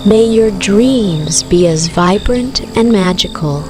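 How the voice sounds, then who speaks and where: A woman narrating a scripted bedtime story for toddlers in a soothing, gentle, unhurried manner. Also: mid-range voice, animated. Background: music with rainforest night sounds of rain, crickets and frogs.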